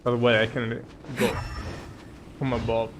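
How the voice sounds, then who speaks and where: A man's voice: mid-range voice, little pitch movement, normal speed, background noise. A man who is a non-native English speaker gives casual live game commentary, rambling and searching for words. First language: not English. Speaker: game streamer.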